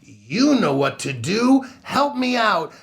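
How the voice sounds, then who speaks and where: A man hosting a children's storytime show in a playful, theatrical, exaggerated way, with sing-song exclamations and pauses. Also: high-pitched, big pitch swings, creaky, fairly bright tone. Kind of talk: playful children's show presenting with dad jokes.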